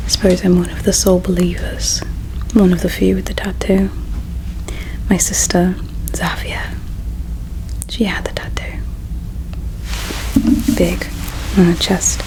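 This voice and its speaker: Woman as female alien believer, grieving and devout. Breathy whisper, soft, solemn, unhurried ASMR roleplay delivery. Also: fairly low pitch, rough recording. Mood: reverent, confiding. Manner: slow, soft, solemn, with pauses. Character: grieving, devout alien priestess's daughter preparing someone for war.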